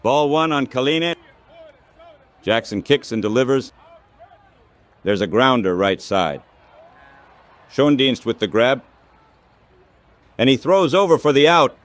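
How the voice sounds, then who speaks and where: A man doing baseball play-by-play commentary as the announcer, in measured, clipped phrases. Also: medium pitch, animated.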